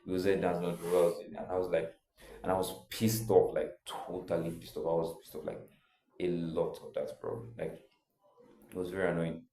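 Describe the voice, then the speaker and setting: A young man tells his own story in a loose, rambling way, leaning on filler words as he goes. His voice sits very low and sounds mellow and soft-edged. The room around him adds a clear echo. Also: flat delivery, normal speed. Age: young adult. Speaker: vlogger. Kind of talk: casual personal storytelling.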